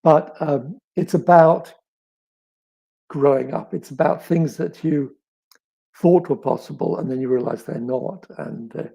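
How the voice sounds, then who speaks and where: A middle-aged man with a crackly, raspy voice, as if with a frog in his throat, chatting reflectively as an author and telling stories with thoughtful pauses and emphasis. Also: a little high, mellow. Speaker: author answering audience questions. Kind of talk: reflective live chat, storytelling.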